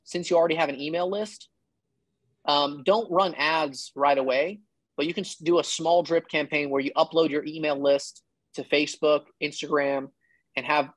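A man's voice: fairly high pitch, no room sound, poor audio. A man in his thirties giving casual, emphatic and blunt advice as a coach on a one-on-one video call. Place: indoor room, video call.

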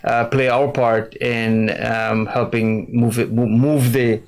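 Middle-aged man, halting rambling answers. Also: mid-range voice, level tone. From grew up in New York City. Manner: rambling, many fillers and restarts. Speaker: guest. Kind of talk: interview answers. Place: TV studio with virtual set.

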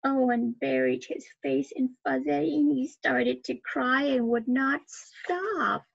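A middle-aged woman reading a children's picture book aloud in an expressive, deliberate storytelling manner. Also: high voice, vocal fry, slightly rough, no echo. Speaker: librarian storytime reader. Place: small indoor room with bookshelves. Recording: dull, lo-fi webcam audio.

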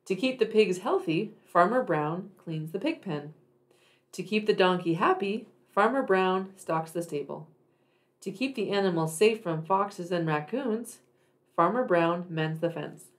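A woman's voice: on the low side, lively intonation, unhurried. A woman reading a children's picture book aloud expressively, with emphatic, dramatic phrasing for young listeners. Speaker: read-aloud storyteller for children.

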